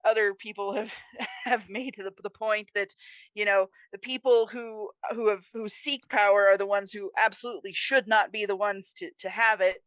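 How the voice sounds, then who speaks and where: Woman podcaster w bright forward voice, super emphatic casual chat, really dry close sound. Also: mid-range voice, fairly even intonation, normal speed, dry recording. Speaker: podcast co-host. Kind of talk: casual chat, film discussion.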